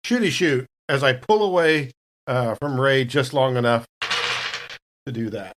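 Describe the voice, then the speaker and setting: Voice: mid-range voice, a little slow, background noise. A tabletop roleplaying player casually bantering and describing what their character does.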